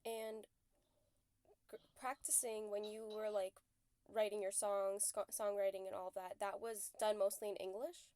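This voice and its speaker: A woman's voice: mid-range voice, flat delivery, measured pace, a little mellow, no room sound. A woman from Canada hosting a casual interview, asking curious follow-up questions with hesitant restarts. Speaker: podcast host.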